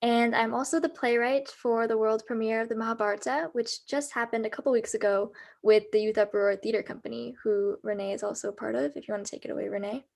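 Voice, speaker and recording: A woman's voice: on the high side, steady tone, quick pace, vocal fry, a little mellow. Enthusiastic American teen girl playwright. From United States. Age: late teens. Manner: enthusiastic, explanatory, with fillers like "like". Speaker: student playwright. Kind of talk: casual discussion on a video call.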